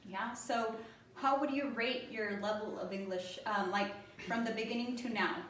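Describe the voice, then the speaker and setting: A woman interviewer with a slightly high voice asks her questions haltingly, leaning on frequent fillers. She sounds distant from the microphone in an echoey room with faint background noise. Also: a bit bright.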